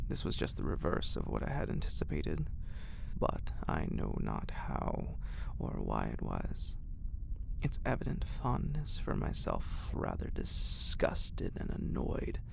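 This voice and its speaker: A man reading a horror story in a breathy, gravelly ASMR half-whisper, with measured, dramatic phrasing and frequent pauses. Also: medium pitch, a little mellow, slight background noise.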